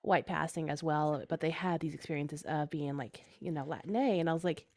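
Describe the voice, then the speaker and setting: A woman's voice: low voice, fairly quick, a little mellow. A woman chatting casually in a panel discussion, recounting an event with lots of filler words. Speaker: panel guest.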